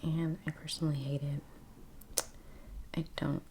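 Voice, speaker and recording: A woman speaking in a soft, breathy near-whisper as the host of a relaxing ASMR painting channel. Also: low-pitched, flat delivery, takes it slowly, noisy background. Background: faint ambience, brush sounds.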